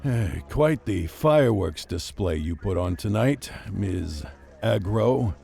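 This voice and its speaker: Casting: weary noir game detective, gravelly, dark-toned, deadpan and sardonic. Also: male voice, fairly low pitch, slow pace, soft background music, full character voice. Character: world-weary, sardonic veteran male police detective. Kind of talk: noir video game drama acting.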